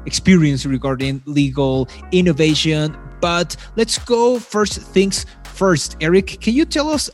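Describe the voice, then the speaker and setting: A man's voice: a little high, lively intonation, measured pace, few pauses, faint music behind. A man hosting a podcast, introducing the show and asking his guest about his career. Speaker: podcast host. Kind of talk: interview hosting.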